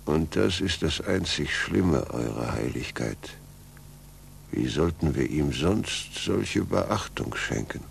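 Ein Schauspieler in einem deutschen Hörspiel der 1940er als eifernder, intriganter Inquisitor, der betont und rhetorisch auf sein Gegenüber einredet. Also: male voice, very deep voice, animated, creaky, full character voice. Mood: insinuating. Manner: rhetorical, deliberate, emphatic. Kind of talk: 1940s German radio play acting. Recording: old 1940s radio recording, faint noise.

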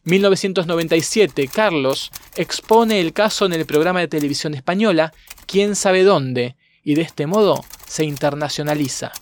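A male narrator with a fairly high voice reads a scripted documentary chronology. Typewriter clicks and faint noise sit behind him. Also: normal speed, few pauses. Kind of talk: scripted documentary narration, reading dated events.

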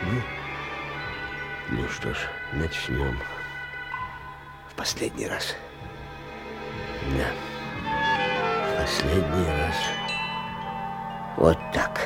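An actor playing an eccentric old schoolteacher-inventor in a radio play, agitated and dreamy, muttering haltingly and repeating himself between exclamations. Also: male voice, extremely low pitch, loud music behind the voice, heavily acted. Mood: nervous muttering. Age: older. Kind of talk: theatrical radio play acting.

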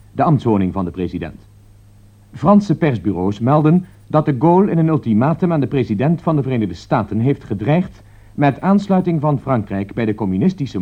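An actor playing a formal, factual newsreader giving an urgent scripted bulletin in a radio play. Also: male voice, medium pitch. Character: sober, urgent male radio news bulletin reader. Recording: dull, lo-fi radio play recording.

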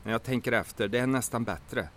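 An actor playing a quirky, self-important safecracker who talks in a low, fussy and pedantic way. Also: male voice, a bit bright, a little hiss or hum, natural voice.

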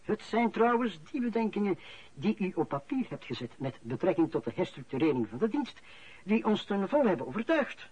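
An actor playing a courteous, sardonic company boss in a radio drama, speaking with measured, ironic pauses. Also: male voice, high voice, sing-song, a little mellow, breathy edge, full character voice. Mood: sardonic, confiding. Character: smooth-talking sardonic male company boss. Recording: dull lo-fi radio play recording.

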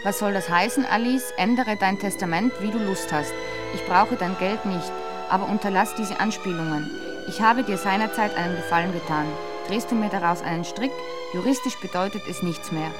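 A woman's voice: medium pitch, normal speed, over loud music, natural voice. Actress as composed, dryly ironic woman novelist. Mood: reading aloud. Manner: matter-of-fact, dryly ironic. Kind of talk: German radio drama acting, often reading aloud.

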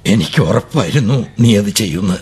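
A man's voice: fairly low pitch, lively intonation, moderate pace, darkish tone, slightly rough. An actor playing a proud, stern elderly father in a radio drama, harsh and commanding, breaking into sobbing rage. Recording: old AM radio broadcast.

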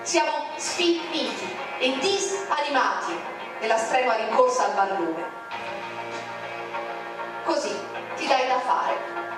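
A woman's voice: animated, slow, long pauses. Woman reciting poetry in a hall. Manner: declamatory, with sharp swings in pitch. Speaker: poetry reader. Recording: very echoey, distant, with music. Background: music.

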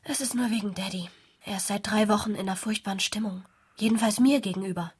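Earnest, breathy teenage girl. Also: mid-range voice, fast. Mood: worried, confiding. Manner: confiding, earnest. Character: sixteen-year-old girl, dutiful, earnest, slightly defensive. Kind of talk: radio drama dialogue, phone chat.

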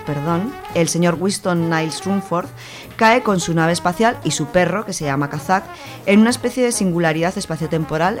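A woman with an accent from Spain giving an enthusiastic, informal, conversational book review on a radio show, partly from prepared notes. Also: low voice.

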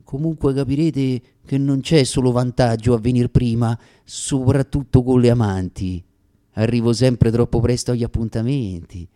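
A man's voice: mid-range voice, mellow, natural voice. A man with a Roman Italian accent playing a self-mocking, plaintive stage prologue in a declamatory comic monologue for radio theatre. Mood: comic grievance. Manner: declamatory, with drawn-out pauses and sudden hurried bursts.

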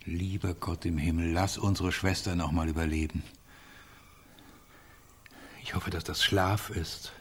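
A self-pitying middle-aged artist, the helpless little brother in a radio drama. He speaks slowly in a husky, lowish voice, hesitant and theatrically plaintive. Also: little pitch movement. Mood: despairing. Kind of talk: German radio play acting.

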